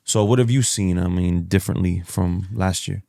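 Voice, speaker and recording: A man's voice: sits low, normal speed, gravelly, mellow. A man from Miami hosting a podcast, chatty and hyped, who fills his speech with slang like "bro" and "you know what I mean". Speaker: podcast host.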